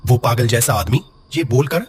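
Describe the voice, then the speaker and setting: A man's voice: mid-range voice, normal speed, a little mellow, slight background noise. A man narrating a scripted horror story dramatically.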